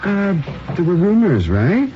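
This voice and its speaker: An actor playing a wry, skeptical, put-upon junior book editor in a radio drama, speaking sardonically. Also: male voice, high-pitched, huge pitch swings, a little slow, a little hiss or hum, full character voice. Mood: teasing skepticism. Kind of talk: naturalistic radio drama acting. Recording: dull old radio broadcast, faint music.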